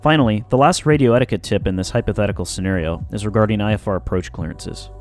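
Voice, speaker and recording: A man with a fairly low voice narrates an instructional video from a script. His delivery is informal and jokey, full of slang. Soft music plays behind him. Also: quick pace. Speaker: aviation explainer video narrator. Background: background music.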